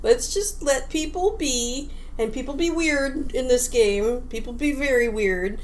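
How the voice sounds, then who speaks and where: Woman, raised pitch, casual explanatory chat, amused, halting, slight background noise. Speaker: podcast co-host.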